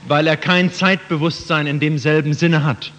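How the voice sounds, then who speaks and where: Male interpreter, slightly high pitch, phrase-by-phrase sermon delivery, dull muffled hall recording. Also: fairly even intonation, normal speed, fairly bright tone, slight background noise.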